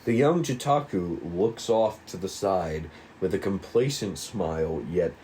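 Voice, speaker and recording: A man's voice: low voice, unhurried, warm tone. A man narrating a table read, reading stage directions aloud on a slightly noisy recording.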